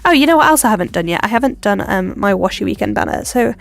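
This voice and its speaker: A woman's voice: medium pitch, lively intonation, fast talker, vocal fry. Chatty woman with a cold. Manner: rambling, self-deprecating, lots of ums. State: stuffy from a cold. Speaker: planner vlogger. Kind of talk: chatty plan-with-me narration.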